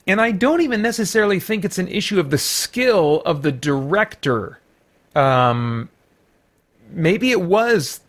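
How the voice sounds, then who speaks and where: A man giving an unscripted movie review as a host, speaking emphatically in a rambling, halting way with repeated false starts. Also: on the high side, fairly bright tone. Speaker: podcast host and film reviewer.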